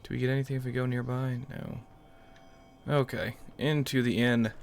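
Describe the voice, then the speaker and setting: Creaky-voiced man musing through a game. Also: mid-range voice, steady tone, moderate pace. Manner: musing aloud, offhand. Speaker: gaming channel host. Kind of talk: roleplay let's-play commentary.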